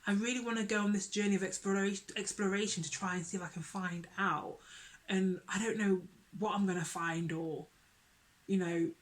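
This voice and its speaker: Expressive woman vlogging. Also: medium pitch, moderate pace. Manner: earnest and expressive, repeats herself for emphasis. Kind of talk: casual vlog talk to camera.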